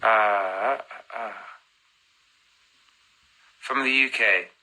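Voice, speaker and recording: A man's voice: on the low side, extremely slow, sharp tone, rough recording. A man in his thirties chatting on a phone live stream, reading fan comments aloud and answering them, switching between languages mid-answer.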